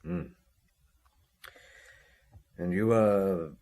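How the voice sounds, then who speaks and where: An actor playing a self-assured police detective in a radio drama, measured, dry and probing. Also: male voice, sits low, dark tone, slight background noise.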